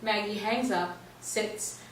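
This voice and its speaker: A woman's voice: medium pitch, steady tone, slow pace. A woman narrator reading stage directions in a scripted play reading. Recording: roomy stage, distant mic, faint noise.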